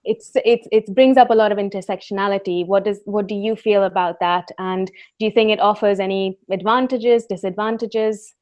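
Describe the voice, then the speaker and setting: A woman hosts a webinar in a relaxed, welcoming way. She fills her speech with ums and small restarts, and her voice sits in a middle register. The sound is dull and lo-fi, from a computer mic on a video call. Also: mid-range voice, moderate pace, hardly any pauses. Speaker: host and volunteer. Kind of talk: casual webinar chat.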